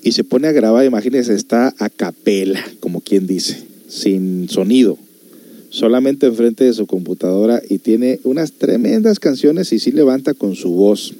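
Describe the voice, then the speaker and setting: A man's voice: medium pitch, expressive, normal speed, warm tone, dry recording. A man hosting a show, talking casually to listeners in a musing, conversational way with rhetorical questions. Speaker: host of a music and reflection show.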